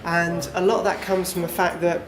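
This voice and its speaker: A man's voice: sits high, a little fast. A man giving a conversational conference presentation to a small group, with lots of fillers. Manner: relaxed, filler-heavy, conversational. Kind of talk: conference talk. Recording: echoey room with faint background noise.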